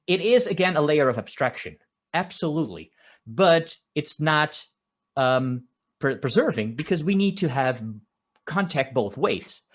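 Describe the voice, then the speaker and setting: A man's voice: fairly high pitch, animated, a little slow. A man from the Netherlands with a Dutch accent, giving a conversational technical conference talk and often restarting his phrases. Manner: conversational, with frequent restarts and filler "uh". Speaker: conference speaker. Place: home office, construction noise outside.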